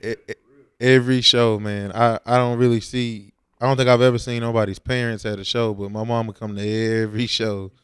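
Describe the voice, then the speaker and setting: A man's voice: mid-range voice, little pitch movement, fairly quick, fairly bright tone, smooth, dry recording. A young man, talking casually and slangily as a rapper in an interview. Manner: informal, slangy.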